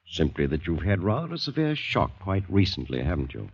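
A man's voice: low-pitched, wildly swooping pitch, a little fast, breathy edge, exaggerated voice. A man with a British accent playing a solicitous, probing English doctor and veteran in a genteel, coaxing way, in 1940s radio drama style. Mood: probing, persuasive. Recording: dull old radio drama recording.

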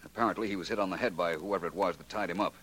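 An actor playing a composed, analytical detective in a 1940s radio drama, with measured, assured delivery. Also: male voice, low voice, fairly bright tone. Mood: brisk, observant. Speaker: lead actor in a detective series. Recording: noisy old radio recording with hum.

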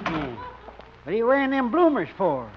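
A man's voice: high-pitched, huge pitch swings, normal speed. An actor playing a teasing older man who mocks and cackles, in a 1950s radio comedy. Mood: mocking. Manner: cackling, mocking. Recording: muffled 1950s radio recording, heavy hiss.

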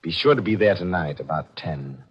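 An actor playing a slick, scheming male gossip columnist in a 1940s radio mystery, smooth and conniving with feigned friendliness. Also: low-pitched, lively intonation, normal speed. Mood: sly, instructing. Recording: dull old-time radio recording.

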